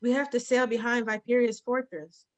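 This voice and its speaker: A woman's voice: fairly high pitch, little pitch movement, moderate pace, lightly acted. A woman playing an earnest, insistent orc messenger in a table read of a fantasy comedy.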